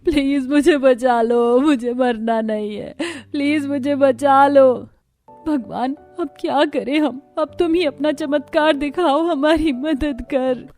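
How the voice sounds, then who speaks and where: Lady doing a chatty young village bahu for a cartoon, really high voice. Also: slow pace, darkish tone, no room sound, soft background music. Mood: pleading. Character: young village daughters-in-law, chatty and expressive. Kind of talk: cartoon story dialogue acting.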